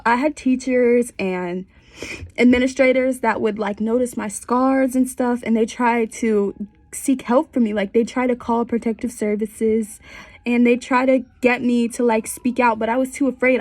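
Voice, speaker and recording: A woman's voice: fairly high pitch, a little mellow, no room sound. A young woman in her twenties with a stuffy, congested voice, candidly telling her personal story for a class in a small bedroom. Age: 20s. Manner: candid and conversational, with wry asides. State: congested from a cold. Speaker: student.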